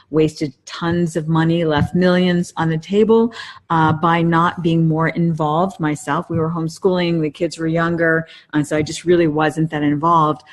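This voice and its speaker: Low-pitched woman co-hosting a podcast. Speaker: podcast co-host. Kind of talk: casual conversational advice talk.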